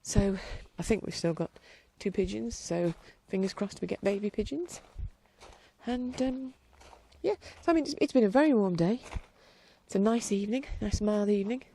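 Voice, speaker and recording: A woman host chatting casually outdoors in her garden, rambling along with a blocked-up nose and dropping quieter at times. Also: mid-range voice, normal speed, creaky, husky. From England. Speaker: podcast host. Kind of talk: casual chat.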